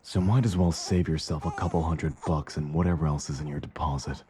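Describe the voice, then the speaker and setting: A man's voice: extremely low pitch, steady tone, fairly quick, warm tone, a little hiss or hum. A man playing a cocky villain in an intimate audio roleplay, with a teasing, smug, flirtatious drawl. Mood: menacing, taunting.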